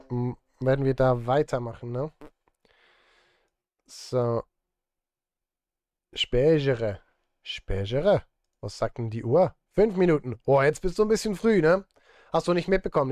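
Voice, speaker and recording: A man's voice: fairly high pitch, darkish tone, dry recording, clean studio sound. A man, chatting casually and playfully on a livestream while he works. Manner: playful, talking to chat and himself. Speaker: music streamer and composer. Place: small indoor room, livestream setup.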